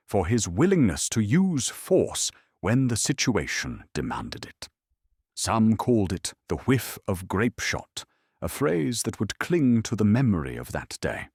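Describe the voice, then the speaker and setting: A man narrating a scripted history bedtime story in a calm, measured storytelling manner. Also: deep voice, vocal fry, breathy edge, no room sound, studio quality.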